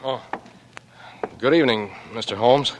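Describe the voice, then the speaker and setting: An actor playing a cocky, suspicious young blackmailer in an old American radio drama, talking in a terse, wary way. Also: male voice, mid-range voice, measured pace, close to an everyday voice. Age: young adult. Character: cagey, brash young small-time blackmailer, suspicious and cocky. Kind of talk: 1940s radio drama acting. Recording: noisy vintage radio broadcast recording.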